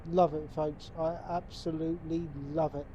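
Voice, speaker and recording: A man's voice: a little high, moderate pace, muffled recording, a little hiss or hum. A man with a congested, stuffed-up voice from a cold, chatting casually to viewers while playing a game. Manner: relaxed, chatty, talks to viewers as folks. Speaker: gaming channel host. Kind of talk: casual gameplay commentary.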